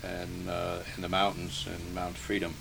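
Older man, low gravelly voice, halting. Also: completely flat delivery. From Hungary, raised in New Jersey. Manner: slow, halting, many pauses and fillers. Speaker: interviewee. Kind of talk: oral history interview. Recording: noisy archival tape recording.

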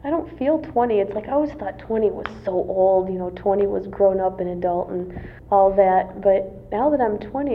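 A woman's voice: medium pitch, gravelly, a little hiss or hum. A woman in her twenties, a college student answering interview questions casually, with many fillers and restarts. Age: 20s. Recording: tinny phone-like lo-fi recording.

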